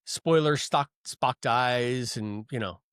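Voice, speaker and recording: A man's voice: mid-range voice, takes it slowly, a bit bright, smooth, no room sound. Thoughtful man in podcast chat, dry sound. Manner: thoughtful, wondering aloud, reads listener comments. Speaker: podcast co-host.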